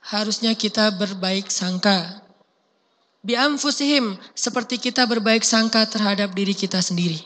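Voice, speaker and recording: A man, giving a casual sermon, talking in a conversational, emphatic way and chanting verses slowly. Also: high-pitched, bright, forceful tone, in a large hall. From Indonesia. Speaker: preacher.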